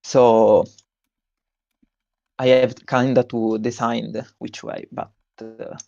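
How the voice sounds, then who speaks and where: A young man with an Italian accent, a tournament winner giving a halting interview with many fillers and restarts. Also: medium pitch, level tone, creaky, dark tone, dry recording, lo-fi sound. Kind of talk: post-win stream interview.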